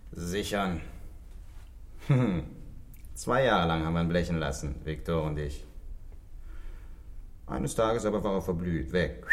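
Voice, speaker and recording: An actor playing a cynical, sly convict in a 1960s radio play, drawling slangy lines with musing sighs and hums. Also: male voice, sits low. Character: cynical condemned male crook, sly and unrepentant.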